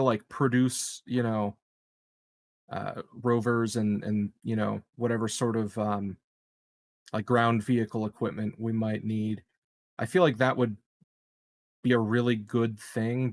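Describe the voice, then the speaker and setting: A man's voice: lowish voice, fairly even intonation, a little slow. A man playing a tabletop game, rambling in casual chat with lots of "like" and "you know" and some swearing. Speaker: player.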